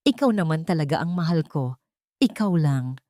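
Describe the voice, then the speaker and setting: A woman's voice: low-pitched, lively intonation, normal speed, dark tone, dry recording. Woman narrator as two-timing Filipino husband in an audio love story; sugary, coaxing delivery, very dry sound. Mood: tender, scheming. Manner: tender, coaxing, mixing in English. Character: sweet-talking cheating husband soothing his lover.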